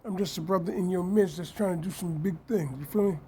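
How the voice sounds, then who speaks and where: Man, mellow and dark-toned, getting over a cold, emphatic self-promoting live-stream monologue. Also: high-pitched, fast talker, slight background noise. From New York. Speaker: fashion designer and business owner.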